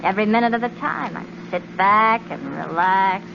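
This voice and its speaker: An actress playing a brash, slangy young hitchhiker, blunt and wisecracking, in a muffled 1940s radio drama recording. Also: female voice, medium pitch, normal speed, creaky, close to an everyday voice. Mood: teasing. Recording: muffled 1940s radio broadcast with faint hiss.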